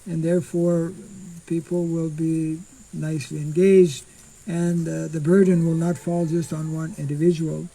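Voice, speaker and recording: Slow, gravelly older man teaching outdoors. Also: a little high, little pitch movement, mellow, poor audio. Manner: slow, halting, clears throat often. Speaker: monk teaching scripture. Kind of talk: informal scripture class. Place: outdoors on a farm clearing. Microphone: stand mic in front.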